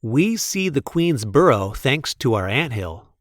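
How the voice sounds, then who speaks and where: A man reading a picture book aloud expressively, with deliberate, playful emphasis on the sentences. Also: medium pitch, very animated, no room sound, clean studio sound. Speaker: read-aloud storyteller.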